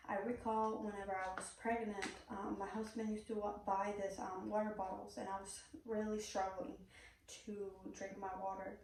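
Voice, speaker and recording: A woman's voice: mid-range voice, little pitch movement, normal speed, a little mellow, slight background noise. A woman from Puerto Rico with a Puerto Rican Spanish accent, a native Spanish speaker, giving a prepared persuasive speech in an earnest, direct way with a few "um"s. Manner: earnest, direct, occasional "um" fillers. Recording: very echoey room, mic far off.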